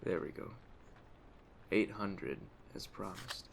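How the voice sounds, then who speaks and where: A man playing a calm, courteous, quietly menacing mafia boss in an ASMR roleplay, speaking in a measured, deliberate way with a gravelly voice. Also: heavily acted. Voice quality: gravelly, low. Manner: measured, deliberate, smooth-talking, faintly menacing. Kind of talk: ASMR roleplay addressed to the listener. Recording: steady noise of storm ambience behind. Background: storm ambience.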